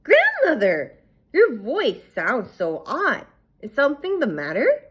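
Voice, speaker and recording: Innocent little girl in a fairy tale, done by a woman, high, questioning, wide-eyed. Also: wildly swooping pitch, takes it slowly, fairly bright tone, slightly rough, exaggerated voice. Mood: curious.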